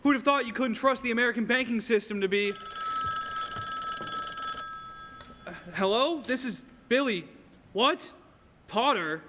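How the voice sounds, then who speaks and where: Young guy as panicky uncle in a radio play, really high voice, exclaiming, noisy echoey hall. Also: moderate pace. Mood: worried. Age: teens or young adult. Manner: agitated, exclaiming. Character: fretful, excitable uncle at a small-town loan office. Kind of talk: stage radio play acting. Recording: noisy reverberant hall recording.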